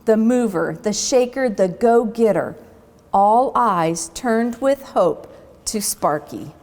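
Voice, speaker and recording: A woman storyteller reading a children's book aloud expressively, with dramatic pauses between phrases. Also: mid-range voice, expressive, a little mellow, big-room sound.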